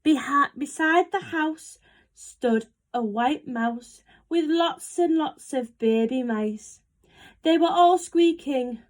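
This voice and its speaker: A woman reading a picture book aloud to young children in an animated, expressive way. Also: sits high, slow. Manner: animated, asks listeners questions. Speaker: storybook reader.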